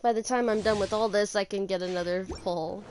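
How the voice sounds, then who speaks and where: A woman doing casual live gameplay commentary as a game streamer, talking haltingly and thinking aloud to herself. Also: medium pitch, fairly even intonation, a little mellow, slight background noise. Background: video game music.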